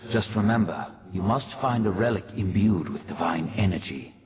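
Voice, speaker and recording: An actor voicing an authoritative male sage in a video game, giving grave, formal, measured instructions. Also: sits low, lively intonation. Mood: grave, instructive. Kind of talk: video game character acting. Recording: long hall reverb.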